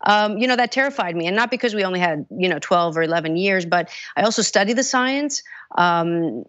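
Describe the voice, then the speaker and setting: A woman's voice: on the low side, expressive, fairly quick, fairly bright tone, dry recording, dull audio. A woman, a congressional candidate giving earnest, urgent answers with many restarts. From United States. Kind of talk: interview answers.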